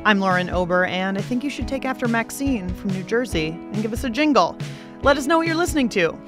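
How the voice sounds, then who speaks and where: A woman's voice: medium pitch, expressive, quick pace, music in the background. An American woman hosting a podcast in a casual, chatty, informal way. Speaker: podcast host. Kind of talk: chatty scripted hosting and interviewing.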